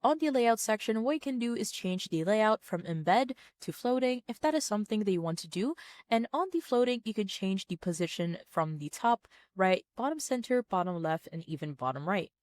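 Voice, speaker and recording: A woman narrating a step-by-step software tutorial in an orderly, plain-spoken, instructional way. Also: mid-range voice, animated, moderate pace, dry recording, clean studio sound. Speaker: tutorial presenter.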